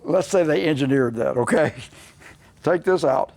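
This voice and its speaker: A man's voice: a little high, measured pace, gravelly, a little mellow. An older man with a Southern US accent, a mechanic explaining a restoration job in a plainspoken, folksy way. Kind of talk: unscripted workshop explanation. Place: workshop.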